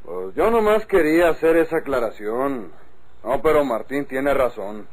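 A man plays an outspoken Mexican countryman in a vintage radio serial. His voice is husky and mid-pitched, with a cautioning tone and northern accent. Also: lively intonation, moderate pace, lightly acted, low-quality recording. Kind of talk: old-time radio drama acting.

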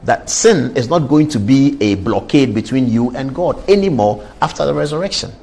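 A man's voice: medium pitch, moderate pace, creaky, breathy edge. A man hosting a live studio talk show, pressing his guest with follow-up questions and reading out viewer messages. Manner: lively, interjecting, presses the guest with follow-ups.

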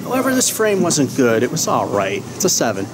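A man's voice: a little high, huge pitch swings, brisk. A man in his forties giving casual, wry, clipped commentary on his own game as he hosts a bowling vlog. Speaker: bowling show host. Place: large indoor bowling alley. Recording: loud hum and room noise.

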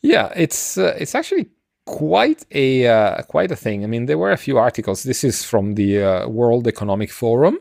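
Middle-aged man, gravelly low voice, hesitant explainer, casual podcast talk, close dry mic. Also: very animated, darkish tone, no echo. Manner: halting, hesitant, circles back to rephrase. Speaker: podcast co-host explaining science news. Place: indoor room on a video call. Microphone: close desk microphone, headset.

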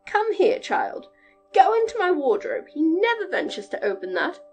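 A woman voicing a loud, bossy giantess who is lonely and overworked, in a storybook reading. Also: very high voice, very animated, moderate pace, faint music behind, voice pushed up. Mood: coaxing. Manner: loud, domineering.

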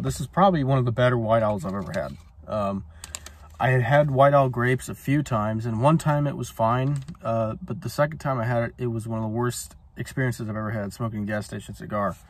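A man in his twenties casually reviewing a cigar while smoking it in a parked car, rambling with lots of ums, recorded on a phone camera. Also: mid-range voice. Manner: laid-back, rambling, many ums and uhs. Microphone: phone camera on dashboard.

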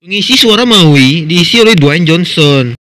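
Higher-pitched Indonesian man narrating. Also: expressive, moderate pace, heavy background noise. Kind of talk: scripted video narration.

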